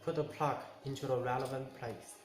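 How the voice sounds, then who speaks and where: A man narrating a step-by-step tutorial in short, simple, halting instructions. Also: medium pitch, fairly even intonation, music in the background. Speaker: tutorial presenter. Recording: echoey room, clear noise, clicks.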